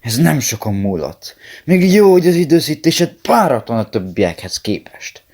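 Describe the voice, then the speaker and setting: A man playing a cool, steady combat driver in a fan audio drama, composed and matter-of-fact. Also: medium pitch. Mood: relaxed.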